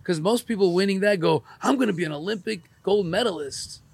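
Husky-voiced man probing with questions. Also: high-pitched, a little fast. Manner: probing, enthusiastic follow-up questions. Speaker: interviewer. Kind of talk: casual interview.

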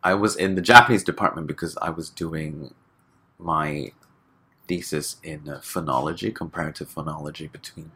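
A man from Hong Kong talks as a guest in a loose, easygoing interview, dropping fillers and laughing between thoughts. His voice sits very low, with a husky grain and a bright, forward edge. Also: normal speed. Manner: relaxed, chatty, laughs often, many fillers. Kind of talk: casual interview chat.

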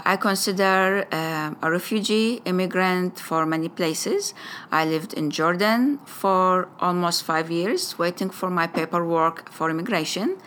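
A woman with an Iraqi Arabic accent, her native tongue Arabic, telling her story in slow, careful English. Her voice sits a little low, and she pauses often as she picks her words. A faint hiss runs under the recording. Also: fairly bright tone. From Iraq. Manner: careful, deliberate phrasing with frequent hesitations. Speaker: parent sharing her background. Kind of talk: personal testimony to a school audience.

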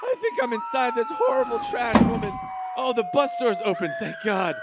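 Man voicing a cowardly cartoon boy hero, lively bluster over background noise. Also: extremely high pitch, normal speed, exaggerated voice. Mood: panicky. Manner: self-serving, blustery, panicky. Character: boastful, cowardly young male superhero.

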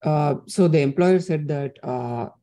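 A man with a fairly high but soft, dark-toned voice calls in. He puts practical questions in a question-and-answer exchange. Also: a little slow. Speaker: caller asking for advice.

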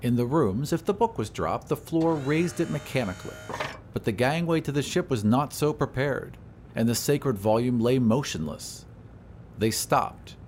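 A man narrating a story in a deliberate, literary, dramatic scripted style. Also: medium pitch, animated, a little mellow, slight background noise. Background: music.

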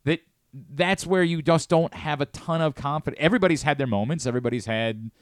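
A man's voice: fairly high pitch, a little fast, no room sound. A man hosting sports talk radio in a studio, giving his opinions with emphasis.

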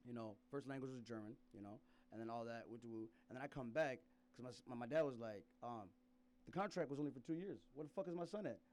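A man's voice: medium pitch, quick pace, darkish tone. A man from South Sudan telling a rambling personal story in casual chat, laughing often and restarting his sentences.

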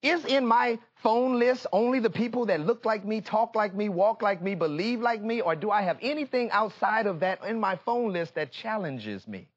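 An American man preaching a fervent sermon in an emphatic, repetitive call-and-response manner, moving between confiding talk and impassioned shouting. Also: sits high, expressive, normal speed, few pauses, husky, highs cut off.

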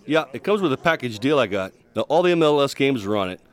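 A man's voice: mid-range voice, big pitch swings, quick pace, lightly acted. A man playing a friendly, joking barman at a soccer pub in an audio drama, breezy and affable.

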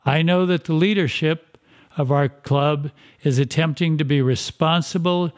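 A man hosting a community club podcast, reading a measured, earnest introduction. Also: fairly high pitch, steady tone.

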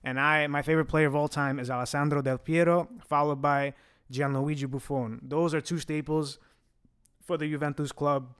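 A man's voice: on the high side, steady tone, normal speed, a bit bright, clear, resonant tone, no room sound, studio quality. A young man whose first language is Italian, hosting a casual fan Q&A, chatty and joking, with a slight stutter and restarts. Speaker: host answering fan questions. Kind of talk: casual Q&A chat.

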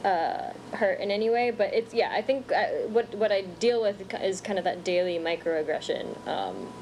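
A woman co-hosting a podcast speaks thoughtfully and a little hesitantly, often restarting her sentences. She was raised in the United States. A faint hiss and rumble sit under her voice. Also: animated, normal speed, darkish tone, rough recording. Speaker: podcast co-host. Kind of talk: casual conversation.

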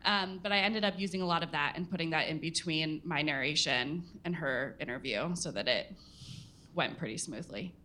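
A woman's voice: deep voice, normal speed, pushed and bright, slight background noise. A woman reporter answering audience questions at a live listening event, self-deprecating and hesitant with frequent fillers. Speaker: workshop student reporter. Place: listening party in an event space.

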